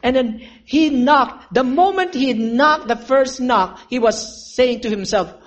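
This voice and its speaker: A man's voice: extremely high pitch, slow pace. A man preaching a sermon, telling a story and calling his listeners friends. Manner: earnest, addresses listeners as friends, asks for amens.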